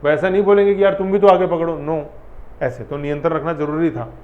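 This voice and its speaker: A man's voice: a little high, fairly even intonation, brisk. A man teaching an exam-prep history lesson in a chatty, repetitive way, often quizzing his students. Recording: echoey room, dull audio, faint ambience.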